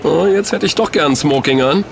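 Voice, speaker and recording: An actor in a German audio drama playing an easygoing, excitable, cheeky sidekick with a casual, slangy manner. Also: male voice, a little high, normal speed, vocal fry, noisy background. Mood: excited, cheeky.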